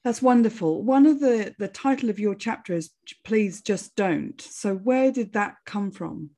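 A woman's voice: mid-range voice, animated, measured pace, dark tone. Enthusiastic woman podcast host. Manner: enthusiastic, encouraging. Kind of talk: scripted intro then casual interview.

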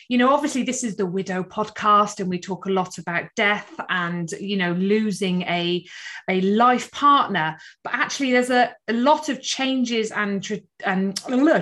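A woman hosting a podcast interview, speaking thoughtfully with many pauses and restarts. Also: medium pitch, a bit bright. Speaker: podcast host.

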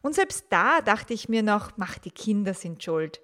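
Slow, calm woman podcaster in her forties. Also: mid-range voice. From grew up in the 1980s and 1990s. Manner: calm, personal storytelling with rhetorical questions. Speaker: podcast host, teacher and mother. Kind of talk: reflective solo podcast talk.

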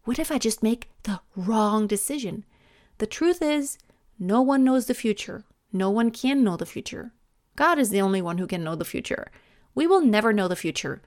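A woman's voice: a little high, animated, normal speed, a little mellow, dry recording, studio quality. A middle-aged woman from Germany, speaking English with a German accent, hosting a reflective solo podcast and often restarting her sentences. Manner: thoughtful, stumbles and restarts often. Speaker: podcast host.

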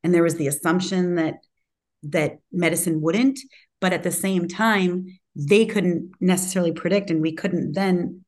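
A woman's voice: fairly low pitch, steady tone, normal speed. A woman speaking as an interview guest in a reflective, conversational way, self-assured and emphatic with a strong voice. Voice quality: loud, strong voice.

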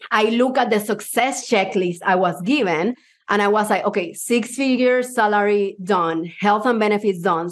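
A woman from Cuba with a Puerto Rican accent, Spanish her first language, giving an enthusiastic motivational talk to a live audience as a mindset coach. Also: medium pitch. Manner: enthusiastic, personal storytelling.

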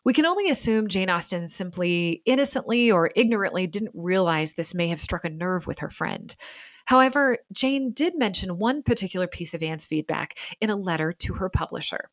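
A woman hosting and narrating a scripted documentary in a measured, articulate storytelling manner. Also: lowish voice, animated, dry recording. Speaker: podcast host and narrator.

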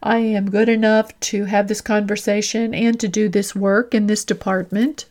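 A woman with a mid-range voice presents as a podcast host and coach. She speaks deliberately and instructively, as if following prepared notes, and addresses the listener directly. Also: medium pitch, a little mellow. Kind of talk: scripted instructional podcast monologue.

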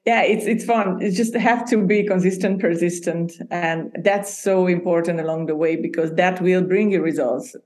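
A woman's voice: on the low side, fairly even intonation, moderate pace, few pauses, a little mellow. Chatty Hungarian-born woman guest. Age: adult. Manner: chatty, laughs often, restarts sentences. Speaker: podcast guest, agency founder. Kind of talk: casual interview chat.

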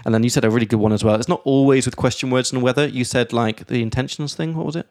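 A man hosting a casual podcast, explaining English grammar in a lively way and reading out example sentences. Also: medium pitch, racing, no echo, studio quality. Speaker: podcast host and English teacher.

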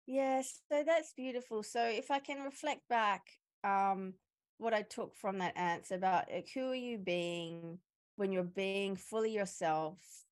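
A woman's voice: medium pitch, a little slow. A woman hosting a podcast interview, reflecting back and affirming her guest's words. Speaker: podcast host, coach. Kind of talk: interview conversation.

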